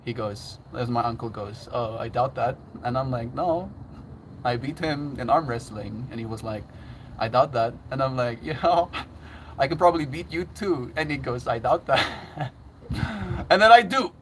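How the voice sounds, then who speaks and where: A man's voice: mid-range voice, normal speed, a little hiss or hum. A man chatting casually on his livestream, laughing often and reading out chat messages.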